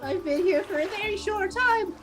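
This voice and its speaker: A man's voice: very high voice, normal speed, music in the background. A man voicing the inner thoughts of a suspicious young newcomer in an exaggerated silly voice for a comic visual novel dub. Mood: wary.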